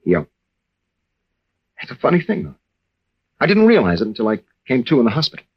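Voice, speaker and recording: Young American husband, ex-navy, troubled and halting; husky voice, dull vintage sound. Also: male voice, mid-range voice, huge pitch swings, full character voice. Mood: haunted, confessional. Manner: halting, earnest, with stammered restarts. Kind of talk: 1940s-50s radio drama acting. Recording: dull old-time radio recording.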